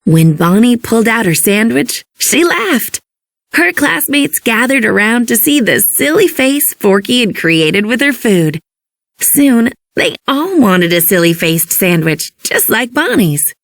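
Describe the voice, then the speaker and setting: Slow, lively woman narrator with creaky edges. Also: medium pitch, no echo, clean studio sound. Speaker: storybook narrator. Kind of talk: expressive scripted children's narration.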